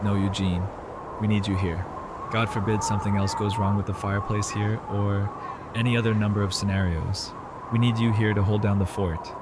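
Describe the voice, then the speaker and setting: An actor playing a calm, responsible male survivor leader with a measured manner in an audio drama. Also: fairly low pitch, very noisy, close to an everyday voice. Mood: resolute. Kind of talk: fan audio drama acting.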